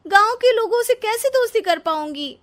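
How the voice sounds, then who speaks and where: A woman's voice: very high-pitched, expressive, moderate pace, pitched above the performer's own voice. A woman voicing a reluctant, scheming young city bride in a Hindi cartoon story, exclamatory and complaining. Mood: whiny, confused.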